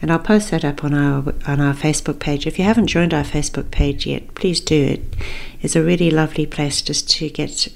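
A woman from Zambia hosting a podcast as a singing teacher, talking informally in a gentle, encouraging, friendly way. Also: low-pitched, steady tone, a little fast, creaky.

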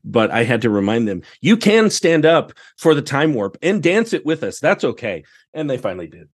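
A man's voice: medium pitch, sing-song, slightly rough. An American man talking casually as a podcast guest, often circling back over words as he searches for the next one. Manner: halting, searching delivery with repeated words. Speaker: podcast guest, theatre director. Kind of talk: casual interview conversation.

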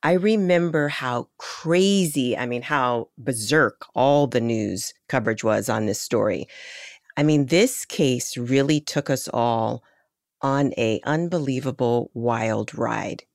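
Deep raspy woman podcast host. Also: slow pace, no echo, clean studio sound. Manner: eager, playful banter. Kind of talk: casual chat.

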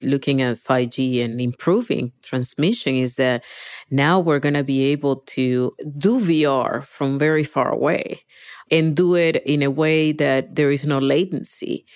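A woman's voice: very deep voice, a little slow, darkish tone, dry recording. Female interviewee, middle-aged, Venezuelan accent, Spanish first language, emphatic conversational delivery in a dry recording. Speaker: interviewee, virtual reality engineer.

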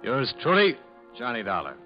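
An actor playing a cool, matter-of-fact insurance investigator in a 1950s radio detective drama. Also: male voice, mid-range voice, wildly swooping pitch, normal speed. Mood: businesslike, dry. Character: cool, hard-nosed male freelance insurance investigator. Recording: dull vintage radio recording, music behind.

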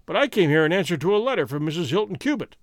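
A fierce American criminal in a storybook, acted by a male reader, defiant and lovesick. The voice is pitched high and swings widely, bitter and emphatic, in a dry close recording. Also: very animated, very fast, no room sound.

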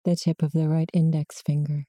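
A woman guiding a body scan meditation in slow, measured short prompts with long pauses between them. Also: sits low, flat delivery, dark tone, no echo, studio quality. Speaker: meditation guide.

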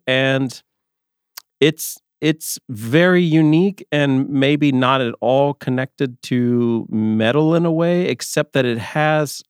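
A man's voice: mid-range voice, clean tone, dry recording, clean studio sound. A man co-hosting a music podcast, chatting casually and rambling with lots of filler words. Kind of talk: casual chat.

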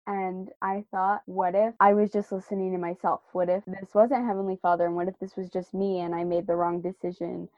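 A woman's voice: on the low side, level tone, fairly quick, hardly any pauses, a little mellow, no room sound, muffled recording. A woman guest earnestly telling a personal story in a casual faith discussion.

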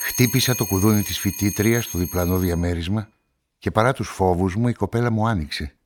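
Low-pitched male investigating journalist, played by an actor; measured narration and probing questions for radio crime drama. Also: slight background noise, close to an everyday voice. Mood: measured, reflective. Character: inquisitive male journalist investigating a murder, narrating his story.

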